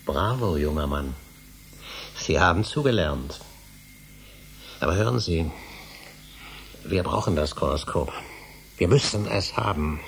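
An actor in a German radio drama playing an older academic, emphatic and lecturing, obsessed with his forbidden research, with a husky voice. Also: deep voice, animated, unhurried, pauses often, slight background noise, heavily acted. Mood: pressing, urgent.

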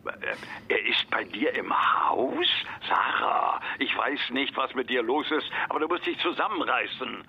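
An actor in a radio drama playing a domineering, patronising man, his husky voice coming through a telephone filter. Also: mid-range voice, animated, a little slow, exaggerated voice. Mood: bewildered, impatient. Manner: patronising, stern. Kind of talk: radio drama acting, phone conversation. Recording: dull, band-limited phone line.

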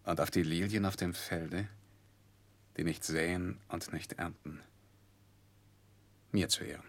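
An actor in a 1960s German radio play playing a suave, careless, self-assured young gentleman with a nonchalant, theatrical manner. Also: low-pitched, fairly even intonation, unhurried, creaky. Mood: flippant toasting.

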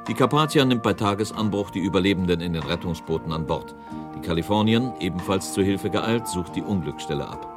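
A man with a low voice narrates a documentary radio play. He is sober and factual, delivering scripted lines, with faint music behind him. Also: animated, fairly quick.

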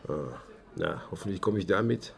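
A hesitant working-class man in a radio drama. His low voice has a heavy creak. He speaks laconically, with a wry, sceptical edge. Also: lively intonation, normal speed. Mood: dry, wry. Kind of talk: German radio drama acting.

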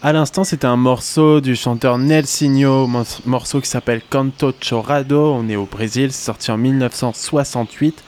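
A man with a French accent hosting a campus radio music show, talking in a relaxed, halting way with fillers. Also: medium pitch. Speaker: radio host. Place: radio studio.

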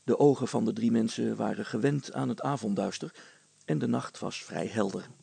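A man reading a storybook aloud as an expressive narrator. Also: on the low side, normal speed, creaky, slightly rough, no room sound.

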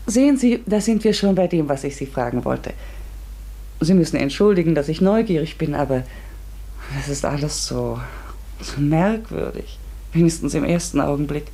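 An inquisitive, ambitious woman in a literary radio drama. Her voice is breathy and husky, and she speaks earnestly, reflectively and with polite hesitancy. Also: sits low, lively intonation, moderate pace, mellow, full character voice. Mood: curious, polite. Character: eager, curious, ambitious woman narrating her strange discovery. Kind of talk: literary radio play narration and dialogue.

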